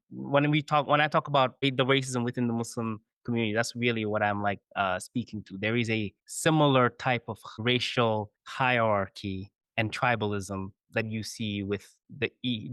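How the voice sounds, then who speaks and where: A man's voice: mid-range voice, a bit bright, smooth, no room sound. A man, a chaplain guest on an interview podcast, explaining his views thoughtfully and often checking in with "you know" and "right?". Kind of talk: conversational interview.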